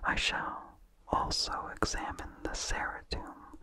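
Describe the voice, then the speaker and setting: A narrator reading vintage horror fiction aloud in a breathy, unvoiced ASMR whisper, with slow, deliberate, measured phrasing. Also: sharp tone.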